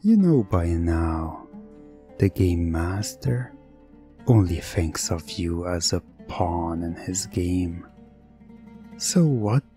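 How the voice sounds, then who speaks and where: Male narrator, very low pitch, slow, breathy, suspenseful ASMR storytelling, music underneath. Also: dark tone.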